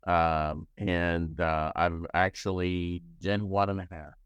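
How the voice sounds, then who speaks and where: This is an older man from Cuba with a very low, deep voice. His tone is smooth and resonant, recorded dry and close with no room echo. He speaks in a halting, casual way, with frequent fillers and restarts. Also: little pitch movement, dull audio. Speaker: child psychiatrist, professor. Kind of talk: casual personal interview.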